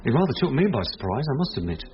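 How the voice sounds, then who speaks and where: A man playing a composed police detective in a radio play. He explains in a measured, gentle, reassuring way at a medium pitch, on a slightly dull recording. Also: sing-song, close to an everyday voice. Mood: matter-of-fact.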